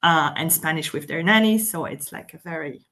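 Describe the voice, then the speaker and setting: A woman's voice: low voice, moderate pace, a bit bright. A woman from Belgium with a Belgian French accent, chatting casually as co-host of a live stream, with lots of "um" and "like". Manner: chatty, laughs often, fillers like "um" and "like". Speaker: summit host.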